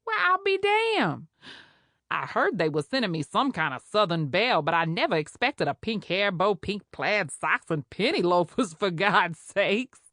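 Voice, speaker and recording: A woman's voice: mid-range voice, very animated, measured pace, fairly bright tone, no echo, clean studio sound. A woman voicing a brash, bossy Creole head nurse who scolds and teases in a drawl. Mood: mocking.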